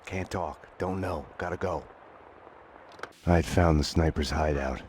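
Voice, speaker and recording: An actor playing a brooding, world-weary police detective, narrating a noir game in a grim deadpan. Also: male voice, a little slow, slight background noise. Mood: tense, hushed. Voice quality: very deep voice. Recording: clear music under the voice.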